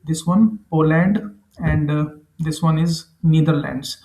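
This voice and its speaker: A man with an Indian accent narrating a screen tutorial in a halting, repetitive, explanatory way. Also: a little high, dark tone, a little hiss or hum. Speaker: presenter. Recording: echoey room.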